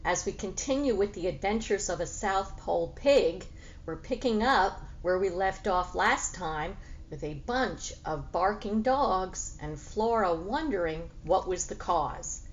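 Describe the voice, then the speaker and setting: A woman reading a children's chapter book aloud as a teacher would, in expressive storytelling narration. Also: mid-range voice, measured pace, lo-fi sound, a little hiss or hum.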